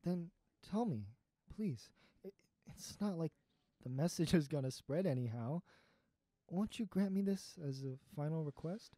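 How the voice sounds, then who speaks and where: Performer as gentle, pleading Warren, mellow-voiced. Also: medium pitch, expressive, measured pace, no echo. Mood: concerned. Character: gentle, pleading, sentimental old friend named Warren. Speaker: voice actor in student audio drama. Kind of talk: naturalistic audio drama dialogue.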